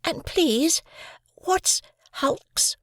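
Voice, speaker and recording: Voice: very high voice, lively intonation, drawn-out words, fairly bright tone, no room sound, studio quality, voice pushed up, exaggerated voice. A reader putting on the voice of a timid, curious small boy who asks anxious, pleading questions in an audiobook. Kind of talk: audiobook character voice.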